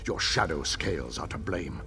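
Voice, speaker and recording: A man's voice: rumbling low, expressive, normal speed, bright, forceful tone, heavily acted. An actor playing a bitter, scornful elf man in a fantasy video game, haughty and theatrical in his grief and outrage. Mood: venomous disdain.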